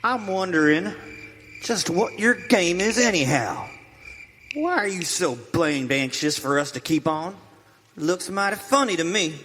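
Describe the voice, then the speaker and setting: A quarrelsome, suspicious frontier settler, high and bright, argues in folksy western talk for a radio play in a reverberant hall. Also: male voice, lively intonation, measured pace, a little hiss or hum. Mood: suspicious, defiant. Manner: folksy, argumentative drawling grammar. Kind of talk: live western radio theater acting.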